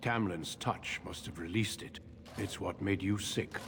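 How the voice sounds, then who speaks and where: A man voicing a calm, grave veteran human warrior in a video game, speaking in a measured, formal, courteous way. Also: low-pitched. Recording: echoey cave reverb, noisy ambience behind.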